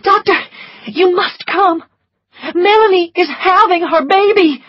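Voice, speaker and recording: A woman's voice: very high voice, unhurried, no room sound, highs cut off, voice pushed up. A woman reading a story aloud, voicing a headstrong young lady who speaks urgently and gives orders. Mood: desperate. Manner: commanding, pleading, urgent.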